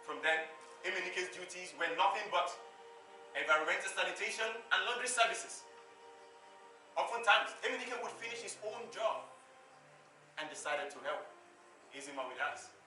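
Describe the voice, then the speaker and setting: Echoey, high-pitched man storytelling theatrically. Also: expressive, moderate pace, long pauses, sharp tone. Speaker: narrator and performer of a stage play. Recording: echoey stage, distant mic, background music.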